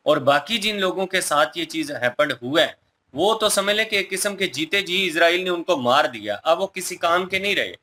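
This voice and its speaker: A man speaks as a co-host giving his opinions casually. His voice is fairly high and sharply bright. He explains his points with emphasis. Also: lively intonation, moderate pace, slight background noise. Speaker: reaction video co-host.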